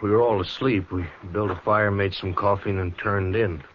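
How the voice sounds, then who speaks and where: An American actor playing an anxious, earnest cowhand with folksy speech in a 1950s radio western. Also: male voice, fairly low pitch, fairly even intonation, normal speed, natural voice. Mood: anxious explaining. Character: agitated cowhand reporting trouble to the marshal. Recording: muffled, faintly noisy 1950s radio recording.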